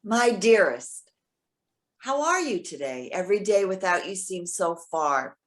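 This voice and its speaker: A woman's voice: medium pitch, big pitch swings, a little slow. A woman playing a hopeful, lovestruck elderly woman in readers theater, reading and composing love messages aloud. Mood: tender, reading aloud. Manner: reads and composes messages aloud with murmured asides. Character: lonely, hopeful, romantic elderly woman writing love emails.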